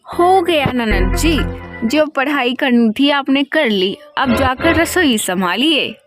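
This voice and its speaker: Woman playing a high-voiced, bossy sister-in-law. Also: expressive, takes it slowly, music in the background. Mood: bossy, mocking. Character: bossy, scornful young married sister-in-law. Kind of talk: acted dialogue in a Hindi moral story cartoon.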